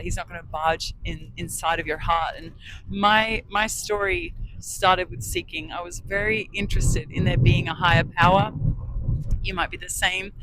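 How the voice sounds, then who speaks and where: A woman's voice: on the low side, a bit bright, rough recording. A woman sharing her faith testimony in an earnest, preachy way inside a car. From Australia. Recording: road noise from a car.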